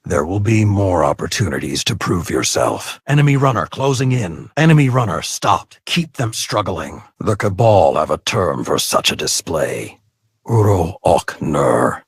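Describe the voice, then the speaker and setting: A man voicing a stern, martial match announcer in a video game, commanding and terse with a husky voice. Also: sits low, big pitch swings, a little slow, dry recording, studio quality, full character voice. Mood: commanding, urgent. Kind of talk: scripted match commentary.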